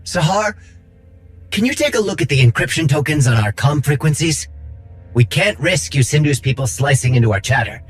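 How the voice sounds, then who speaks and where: Lively, earnest young rebel. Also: male voice, on the high side, moderate pace, slightly rough, no echo, soft background music. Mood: friendly, eager. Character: kind, earnest, idealistic young male rebel friend. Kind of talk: video game cutscene acting.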